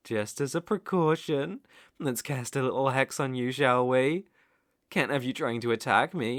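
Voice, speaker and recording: Man playing a slow, smug British sorcerer. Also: mid-range voice, no echo, clean studio sound. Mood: smug, commanding, then smug, mocking. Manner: cooing baby talk mixed with smug menace. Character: gloating, doting, cruel male sorcerer captor. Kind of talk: ASMR roleplay acting.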